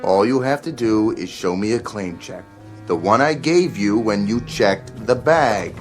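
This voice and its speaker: An actor playing a gruff, impatient bus depot ticket clerk in a radio drama, curt and sardonic. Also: male voice, lowish voice, unhurried, faint music behind, natural voice. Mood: irritated, sarcastic.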